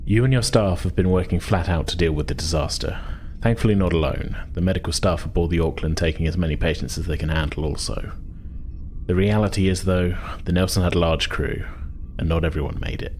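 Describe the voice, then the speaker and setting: A man's voice: low voice, darkish tone, slight background noise. A man narrating a tabletop science fiction game as game master and host.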